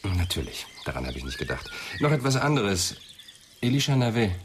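A man's voice: on the low side, very animated, normal speed, slight background noise. An actor playing a persistent, sceptical male police inspector who questions tersely in a radio crime drama. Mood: probing. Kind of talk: German radio drama dialogue.

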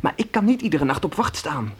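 Determined teenage pioneer boy, earnest, commanding, lively swings of pitch, radio drama, faint hiss. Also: a little fast. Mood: insistent. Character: determined adolescent pioneer boy leading his siblings westward. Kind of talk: radio play acting.

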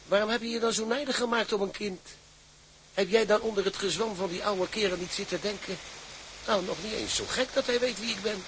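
A man's voice: sits high, steady tone, a little fast, background noise, lightly acted. An actor playing a wry, plain-spoken seaman in a Dutch period drama, needling and soothing by turns.

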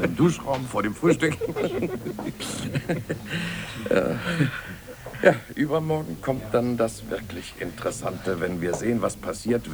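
A man's voice: fairly low pitch, gravelly. An actor playing a genial, self-assured elderly scientist and father in a German radio drama, avuncular and hearty in manner. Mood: gleeful. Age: older. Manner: avuncular, hearty, chuckling, relishing his words.

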